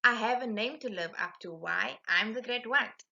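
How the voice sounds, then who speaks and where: A woman's voice: fairly high pitch, normal speed, creaky, bright, forceful tone, dull audio. A woman voicing a proud, dramatic female shark in a storybook, theatrical and exclaiming. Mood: sly, proud.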